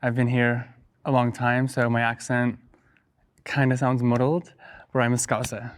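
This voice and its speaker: A man's voice: mid-range voice, flat delivery, a little slow. Hesitant man from Liverpool. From Liverpool, England. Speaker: game show contestant.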